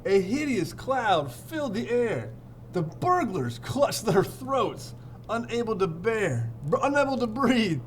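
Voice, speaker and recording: A man's voice: high voice, sing-song, slow pace, a little hiss or hum, low-quality recording. A man teaching through a storybook read-aloud, playful and theatrical, repeating phrases and chatting to his pupils.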